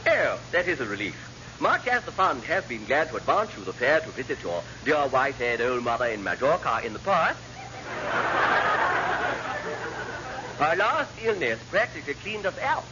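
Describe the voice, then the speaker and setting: An actor playing a fussy, kindly naval padre with a pompous, churchy way of talking, in classic radio sitcom style. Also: male voice, mid-range voice, sing-song, brisk, lightly acted. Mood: flustered, pious. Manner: pompous, churchy, ornate phrasing. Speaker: ship's chaplain and welfare officer. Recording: muffled, noisy old radio comedy recording.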